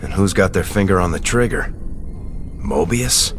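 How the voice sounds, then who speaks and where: An actor playing an earnest, formal young second-in-command officer in a video game. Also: male voice, low voice, expressive, normal speed, background noise. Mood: concerned, urgent. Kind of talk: dramatic video game acting.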